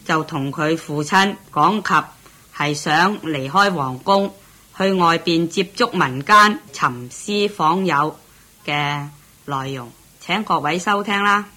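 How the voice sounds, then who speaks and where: A woman's voice: low voice, takes it slowly, bright, forceful tone. A woman giving a scripted introduction as a radio programme announcer.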